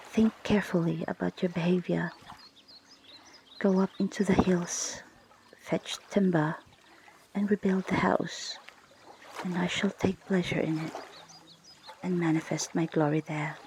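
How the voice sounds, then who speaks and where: A woman speaks in a very slow, hushed ASMR whisper, as if leading a guided prayer. Her breathy voice often drops into a crackly fry. She is unhurried and deliberate, with soft ocean water noise running behind her. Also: lowish voice. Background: ocean water ambience.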